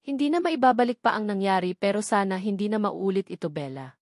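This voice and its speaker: A woman's voice: medium pitch, animated, brisk, a little mellow, no room sound. A serious young husband in a romance audiobook, voiced by a woman narrator. He is bossy and reproachful with his stubborn wife, and the sound is close and dry. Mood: stern, serious.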